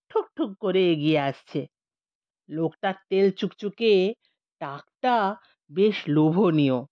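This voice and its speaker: A woman reads a comic audio story with theatrical flair. Her pitch leaps and dips freely as she leans playfully on key words. The recording is close and dry, with a slightly dull, muffled tone. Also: no room sound. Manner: theatrical, playful, expressive emphasis with drawn-out words. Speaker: audiobook narrator. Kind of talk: animated comic storytelling, scripted narration.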